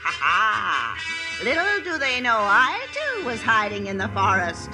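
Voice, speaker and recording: High, gloating wicked witch. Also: wildly swooping pitch, unhurried, full character voice, poor audio. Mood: gloating, menacing. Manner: cackling, theatrical. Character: gloating wicked witch, power-hungry and menacing. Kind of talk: 1950s radio play villain acting. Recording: old radio broadcast under loud music.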